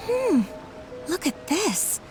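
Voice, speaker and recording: A woman's voice: very high-pitched, very animated, extremely slow, a little mellow, soft background music, full character voice. A woman voicing a gossiping townsperson in a video game. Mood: intrigued.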